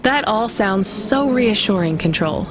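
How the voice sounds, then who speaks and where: An actress playing a young delivery driver in a video game, dry and sarcastic but caring. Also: female voice, medium pitch, expressive, unhurried, noisy background, natural voice. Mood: wry, sarcastic.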